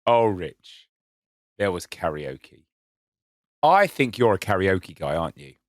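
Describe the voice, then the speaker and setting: A man co-hosting a casual podcast, telling stories with enthusiasm and a touch of self-mockery. His voice sits on the low side. The sound is dry and close, with no room echo. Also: very animated, takes it slowly. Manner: enthusiastic, self-mocking storyteller. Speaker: podcast co-host. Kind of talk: casual chat.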